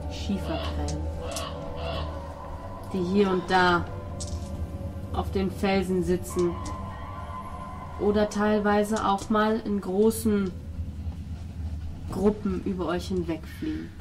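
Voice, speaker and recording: Woman game master over clear music. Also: mid-range voice, rough recording. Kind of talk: narrating a tabletop role-playing game. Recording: music playing behind the voice.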